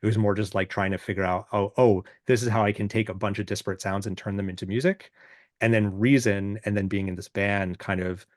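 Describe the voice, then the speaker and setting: Deep-voiced man chatting casually, close dry sound. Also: steady tone, no room sound. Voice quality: deep, booming voice. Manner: halting, self-deprecating, informal. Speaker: podcast guest, musician and self-producer. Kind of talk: casual, reflective interview chat.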